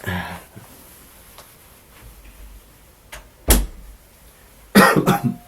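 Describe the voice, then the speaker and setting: Male stream host, slightly croaky, slow and halting, with many fillers, recorded at a home studio mic. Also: sharp tone. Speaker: home studio streamer answering viewer questions. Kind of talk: casual live stream chat.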